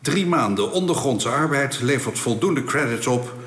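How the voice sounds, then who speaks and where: An actor playing a courteous, matter-of-fact alien official in a Dutch science fiction radio play, speaking formally and in measured phrases. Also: male voice, mid-range voice, expressive, a bit bright, natural voice. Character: courteous, matter-of-fact alien official man explaining his planet's laws. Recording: echoey reverberant hall effect.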